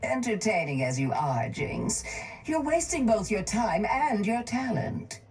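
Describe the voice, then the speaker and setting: An actress playing a cold, calculating older crime-boss chemist in a video game, speaking in a measured, menacing, condescending way. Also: female voice, mid-range voice, big pitch swings, a little mellow, slightly rough, soft background music, exaggerated voice. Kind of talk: video game character voice lines.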